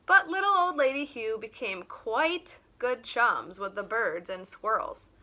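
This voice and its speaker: A woman reading a children's picture book aloud like a teacher, in an expressive, deliberate way. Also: on the high side. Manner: animated, deliberate.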